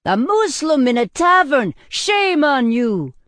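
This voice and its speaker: A man's voice: very high-pitched, sing-song, takes it slowly, a bit bright, no echo, clean studio sound, voice pushed up, exaggerated voice. A man voicing an outraged pious man from a medieval crowd, roaring accusations in an audiobook style. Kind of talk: audiobook character acting.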